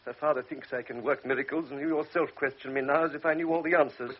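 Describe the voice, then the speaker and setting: A man's voice: fairly high pitch, steady tone, fast, husky, highs cut off. A man playing a blunt, cynical middle-aged doctor in a radio drama, talking in a brusque, lecturing, world-weary way.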